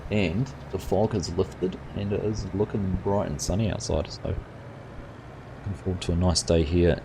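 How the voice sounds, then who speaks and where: A man's voice: low voice, measured pace, noisy background. A middle-aged man with a New Zealand accent, hosting a live gaming stream and chatting casually with viewers, with plenty of fillers. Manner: relaxed and chatty, many fillers, answers chat by name. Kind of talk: casual chat reading viewer comments.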